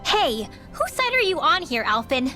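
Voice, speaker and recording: A hot-tempered teenage girl in a fantasy game. She is high-pitched and insistent, swinging widely in tone as she voices her distrust, with music behind. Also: very animated, normal speed, sharp tone, exaggerated voice. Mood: accusing. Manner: indignant, insistent. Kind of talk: video game dialogue acting.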